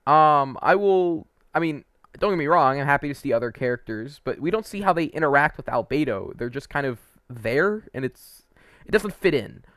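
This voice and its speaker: A man's voice: on the high side, lively intonation, moderate pace, a bit bright, no room sound. A man giving casual, opinionated video game commentary as a host, conversational and a little hesitant, wandering into asides.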